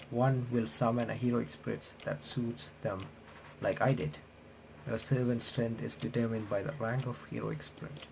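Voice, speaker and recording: A man's voice: mid-range voice, gravelly, a little mellow, slight background noise, poor audio. A man doing a game playthrough, reading on-screen text aloud with casual commentary, often stumbling and correcting himself. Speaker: host of a game playthrough and reaction channel.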